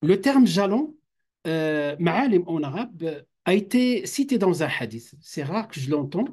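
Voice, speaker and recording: A man teaches a class of students in a classroom. His voice sits a little high for a man, and he talks in a halting way, restarting sentences and dropping phrases into his French. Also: dark tone. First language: Arabic. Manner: hesitant, many fillers and restarts, mixes Arabic phrases. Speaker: teacher at an Islamic institute. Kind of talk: classroom lecture.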